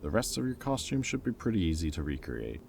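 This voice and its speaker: A man's voice: sits low, sing-song, brisk, gravelly, darkish tone, a little hiss or hum, exaggerated voice. A man playing a teasing but caring ex-villain in an intimate roleplay audio drama, speaking in casual, wry banter. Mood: playful, eager. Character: teasing, caring male ex-villain planning with old hero friend.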